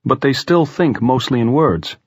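Male reader as a wry, measured man, husky, dry read. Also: mid-range voice, animated, no room sound, pitched above the performer's own voice. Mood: calm.

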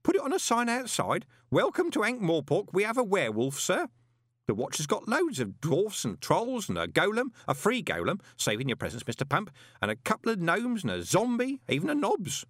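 Man acting old male postman, high swooping pitch, gossipy, ingratiating, audiobook character. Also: wildly swooping pitch, normal speed, fairly bright tone, dry recording, studio quality, exaggerated voice. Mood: conspiratorial. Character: chatty, conspiratorial elderly male postal worker, deferential.

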